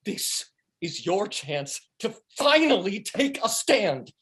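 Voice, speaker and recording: A woman's voice: mid-range voice, big pitch swings, slow pace. A woman playing a foul-mouthed, defiant male sidekick in a comedy table read, with pleading, theatrical delivery. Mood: urging. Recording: video call.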